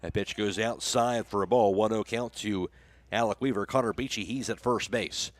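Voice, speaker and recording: A man's voice: on the low side, normal speed, no room sound. A man doing live play-by-play baseball commentary for a radio broadcast. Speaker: play-by-play announcer.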